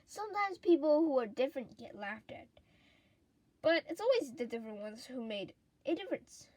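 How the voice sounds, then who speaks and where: A woman reading a children's story aloud with a deliberate, expressive delivery. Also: sits high, no echo.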